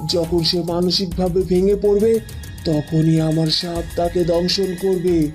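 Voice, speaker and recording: A woman voicing a cunning, imperious sorceress in a cartoon fairy tale, speaking with deliberate menace. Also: fairly low pitch, flat delivery, darkish tone, faint music behind. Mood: menacing. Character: commanding female tantric sorceress, cunning villainous mentor.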